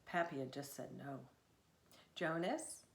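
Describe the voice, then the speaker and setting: A woman author reading narrative prose from her book in a measured, expressive storytelling manner. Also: lowish voice. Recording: echoey room sound.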